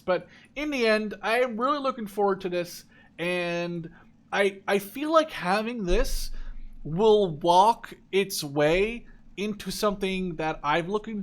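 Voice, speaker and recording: Young guy doing casual game commentary, voice a bit on the high side, rambly and corrects himself a lot. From Netherlands. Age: 20s. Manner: rambling, conversational, often self-correcting. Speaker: gaming video creator.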